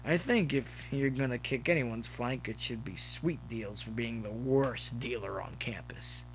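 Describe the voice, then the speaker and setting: A man voicing a grinning, flippant junkie college pony who goads others loudly in an audio story. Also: medium pitch, measured pace, a little hiss or hum, rough recording. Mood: mock innocence. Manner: flippant, goading, raised voice.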